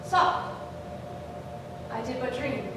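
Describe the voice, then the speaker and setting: A woman acts out a haunted, power-hungry female king in a staged Shakespeare soliloquy. The high voice moves with slow, dramatic deliberation. It is heard from a distance in a very echoey space, with clear background noise. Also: expressive. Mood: fearful, brooding. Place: live staged reading in echoey room. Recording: echoey room, distant mic, noisy.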